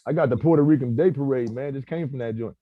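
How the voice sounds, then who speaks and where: A man's voice: medium pitch, quick pace, dry recording. A man chatting casually on a video call. He uses street slang and laughs easily. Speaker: table read cast member. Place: home in Brooklyn. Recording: dry, slightly dull call audio.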